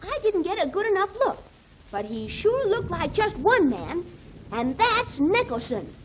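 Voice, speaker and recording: A woman playing a plucky, excitable young girl adventurer in a 1930s radio serial, with exclamatory, folksy speech. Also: very high-pitched, measured pace, a little hiss or hum, exaggerated voice. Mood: urgent, earnest. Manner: exclamatory, earnest, folksy slang. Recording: dull, muffled 1930s radio recording.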